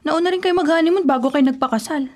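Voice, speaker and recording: A woman's voice: sits high, quick pace, a little mellow, lightly acted. A woman playing a flippant, sassy younger sister in a radio drama, with an offhand, teasing way of talking. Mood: casual.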